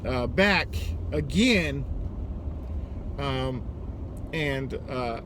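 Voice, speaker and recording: A man's voice: mid-range voice, animated, extremely slow. An American man casually telling a personal story, hesitant and sweary, still a bit worked up. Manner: hesitant, sweary, acting out dialogue. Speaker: storytelling vlogger and delivery driver. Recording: noisy, car-like ambient background noise.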